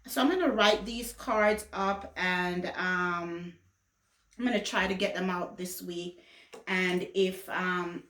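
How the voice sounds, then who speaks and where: A woman's voice: fairly low pitch, fairly even intonation, takes it slowly, a bit bright. Chatty woman vlogger in an echoey room. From Jamaica until age fourteen, then the US. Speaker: lifestyle vlogger. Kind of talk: casual vlog chat to viewers.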